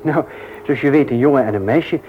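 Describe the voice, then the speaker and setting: An actor playing a courteous, gently flirtatious single father in a radio play, polite, bantering and slightly hesitant. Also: mid-range voice, a little fast, natural voice. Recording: dull old radio recording with noise.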